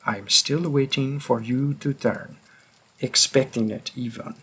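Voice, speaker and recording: A man voicing a centuries-old vampire who hunts his own kind, grim and deliberate, in a scripted roleplay monologue addressed to the listener. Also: medium pitch, fairly even intonation, a bit bright, breathy edge, rough recording. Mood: wary, threatening. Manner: slow, deliberate, pausing, darkly confiding.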